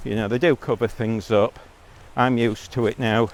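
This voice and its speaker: Man, mid-pitched voice, blunt and digressive, casual vlog talk outdoors. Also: steady tone, moderate pace. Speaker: vlogger. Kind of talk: casual rambling monologue.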